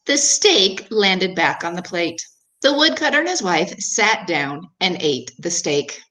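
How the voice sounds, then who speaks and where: A woman's voice: fairly low pitch, very animated, unhurried, pushed and bright. A woman teacher reading scripted narration of a children's storybook tale.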